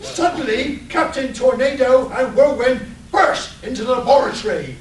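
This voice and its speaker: A man's voice: very high-pitched, normal speed. A man narrating a live comedy radio play with the melodrama of a serial announcer. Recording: roomy, distant live convention recording with noise.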